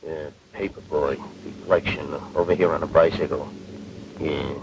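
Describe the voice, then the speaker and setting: A man playing a brash, uneducated bomber gunner who talks in slangy, ungrammatical English in a 1940s animated training film. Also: medium pitch, measured pace. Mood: puzzled. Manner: slangy, ungrammatical, hesitant then boastful. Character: cocky, uneducated rookie bomber gunner, easily puzzled, brash. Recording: muffled, noisy old 1940s film soundtrack.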